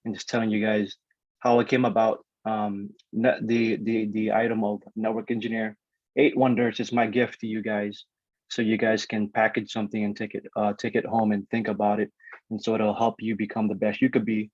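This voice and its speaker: A young man from the Philippines, raised on Guam, whose first languages are Ilocano and Tagalog, giving a relaxed, chatty alumni talk over a video call with lots of fillers and restarts. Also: on the low side, moderate pace, dry recording. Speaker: alumni guest speaker. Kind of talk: casual presentation on a video call. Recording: dry, slightly dull call audio.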